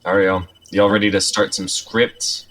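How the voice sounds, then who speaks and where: A man's voice: fairly low pitch, little pitch movement, a little slow, a bit bright. A young adult man talking casually as one of the hosts of a group stream. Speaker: streamer introducing scripts.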